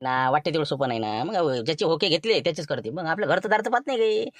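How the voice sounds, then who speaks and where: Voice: mid-range voice, expressive, rapid-fire, no echo, pitched below the performer's own voice, natural voice. A woman voicing worried village farmers in a cartoon comedy, speaking colloquial, complaining rural Vidarbha Marathi. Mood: resigned, grumbling. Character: worried Marathi village farmer men chatting about crops.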